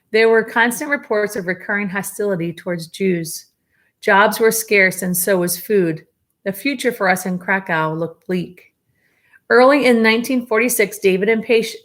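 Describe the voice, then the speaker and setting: Woman teacher reading a book aloud. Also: mid-range voice. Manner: steady read-aloud with small slips, self-corrections and chatty asides. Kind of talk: reading a memoir aloud to students.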